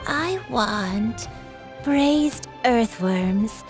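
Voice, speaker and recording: A woman's voice: a little high, lively intonation, soft background music. An actress playing an elderly grandmother in a game, with a plaintive, blunt way of talking. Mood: flat, hungry. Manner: slow, plaintive. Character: frail-talking old granny with youthful looks, blunt, plaintive. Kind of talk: game dialogue.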